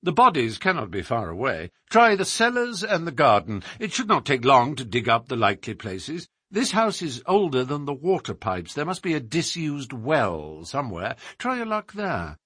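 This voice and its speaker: A man voicing a self-assured gentleman detective in an audiobook, explaining in a precise, formal, theatrical way. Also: medium pitch, very animated, normal speed, few pauses, husky, no room sound, studio quality, pitched above the performer's own voice, heavily acted. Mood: brisk.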